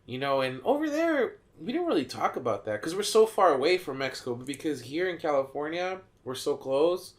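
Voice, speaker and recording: A young man from Chicago talks with a Chicano accent. He is animated and slangy and laughs easily. His voice sits somewhat high for a man as he hosts a relaxed podcast chat. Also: a little fast, hardly any pauses. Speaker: podcast host. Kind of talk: casual chat.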